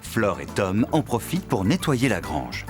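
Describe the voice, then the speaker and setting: A man's voice: fairly low pitch, sing-song, measured pace. A man serves as narrator of a children's cartoon. He reads scripted storytelling lines, with music behind his voice.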